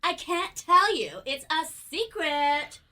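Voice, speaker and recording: A high, brassy, ditzy model. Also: female voice, big pitch swings, slow pace, bright, forceful tone, exaggerated voice. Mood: coy. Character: coy, brassy, dim young female artist's model. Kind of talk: comic radio play acting.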